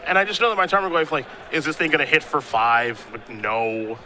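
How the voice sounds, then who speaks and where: A man calling live game play-by-play, steady when describing plays and easygoing in banter with his co-host. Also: on the high side, a little fast. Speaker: play-by-play commentator. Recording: noisy live event audio.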